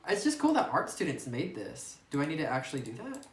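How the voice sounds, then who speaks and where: A woman's voice: deep voice, wildly swooping pitch, fairly quick. A woman casually chatting and giggling as she plays through a game, reading its text aloud, recorded on a phone. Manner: chatty, giggly, muses aloud while playing. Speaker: gaming YouTuber. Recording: roomy phone recording with faint hiss.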